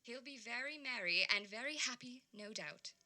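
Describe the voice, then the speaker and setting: Woman playing a fussy, bright-voiced mother. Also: fairly high pitch, animated, moderate pace. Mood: grudging. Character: fussy, sharp-tongued, devoted working-class wife and mother. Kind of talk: audio play acting.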